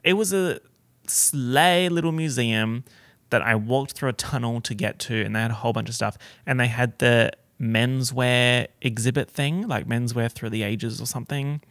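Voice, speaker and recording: A young man chats through his podcast in a campy, theatrical style, heavy on slang. His voice is gravelly with a bright, forceful edge, and the sound is close and dry. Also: medium pitch, moderate pace, no echo. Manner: campy, dramatic, slangy storytelling. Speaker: podcast host. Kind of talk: casual solo podcast chat.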